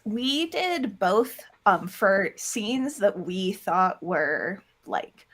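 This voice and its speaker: A woman's voice: medium pitch, sing-song, very slow, fairly bright tone. A woman chatting casually as an interview guest about creative production work, candid and informal, with "like" sprinkled through. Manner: candid, informal, heavy use of "like". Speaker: interview guest, audio drama producer and voice actor.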